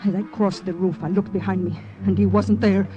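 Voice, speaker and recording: A woman's voice: medium pitch, steady tone, dark tone, dull audio, soft background music, lightly acted. An actress playing a devoted, anxious wartime woman agent in an earnest, dramatic old-time radio style. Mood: sorrowful. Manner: earnest, dramatic, deliberate phrasing. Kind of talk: 1940s-50s radio drama acting.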